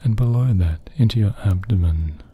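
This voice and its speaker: A man narrating a guided sleep meditation in a soft, breathy, soothing voice, gently hushed, with long pauses between phrases. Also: on the low side, phone-quality sound.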